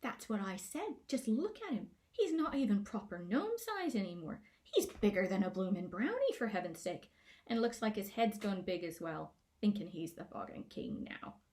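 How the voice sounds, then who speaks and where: A woman's voice: medium pitch, big pitch swings, moderate pace, darkish tone, close to an everyday voice. A woman voicing a tiny, disgruntled female garden gnome who scolds in a folksy, indignant way for a storybook. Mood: scornful, scolding.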